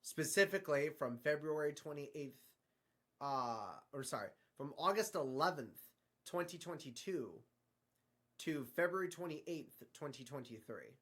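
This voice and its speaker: A performer playing a hesitant, downcast explorer of alien universes, speaking haltingly in improvised dialogue and trailing off with stammers. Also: mid-range voice, animated, a bit bright. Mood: explanatory. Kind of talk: improvised fictional dialogue between space explorers.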